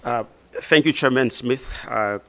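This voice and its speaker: A man from Congo in his thirties, reading formal prepared testimony as a witness at a hearing, measured with occasional restarts. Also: medium pitch, fairly bright tone. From Democratic Republic of the Congo. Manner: measured, reads prepared text with some restarts.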